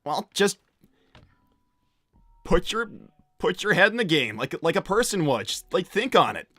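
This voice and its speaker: A man's voice: sits high, sing-song, unhurried, bright, forceful tone, no echo, full character voice. A man voicing a young hedgehog hero in a livestream roleplay, casual and impatient, often stammering. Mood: coaxing. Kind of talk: improvised in-character livestream roleplay, reading out messages.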